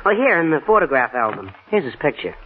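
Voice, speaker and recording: A man's voice: on the high side, very animated, quick pace, slight background noise. An actor playing an eager, shy teenage boy in a 1940s radio drama, gushing and slightly stammering. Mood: boyish, chatty. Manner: boyish, gushing, hesitant stammer. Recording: muffled, tinny 1940s radio broadcast.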